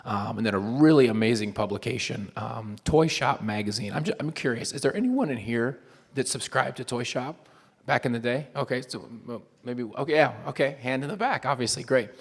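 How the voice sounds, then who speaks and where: A hoarse man giving a chatty panel talk to a live audience, with frequent ums and restarts. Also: mid-range voice, lively intonation, a little fast. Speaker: convention panel presenter. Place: convention hall panel room. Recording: long hall reverb.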